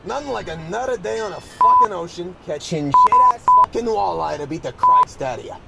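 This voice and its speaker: A man playing a crude, folksy old sailor in a parody of a 1940s radio play, with a gravelly voice. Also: high-pitched, huge pitch swings, brisk, dull audio, heavy background noise, heavily acted. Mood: grumbling, boastful. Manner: folksy, swearing, exclamatory delivery. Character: foul-mouthed salty old sailor, cheerfully crude.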